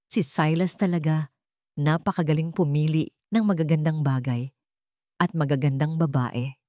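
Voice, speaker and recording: A woman's voice: deep voice, normal speed, no room sound, lightly acted. Woman acting a cool, vengeful wife, dry sound. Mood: falsely sweet. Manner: calm with a hidden sharp edge. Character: vengeful wronged wife, coolly poised and menacing.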